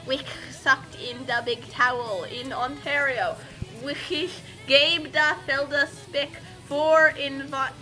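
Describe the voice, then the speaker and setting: A woman with a high, bright voice reads a fan story aloud at a slow pace, playful and dramatic, slipping into casual asides. Music plays clearly behind her. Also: fairly even intonation, rough recording. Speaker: reader and co-host of a group story reading.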